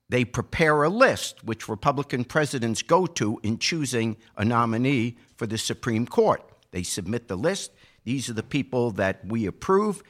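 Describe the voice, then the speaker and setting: A man giving political commentary as a podcast co-host, explaining his points in an emphatic, argumentative way with deliberate phrasing. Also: mid-range voice, a bit bright.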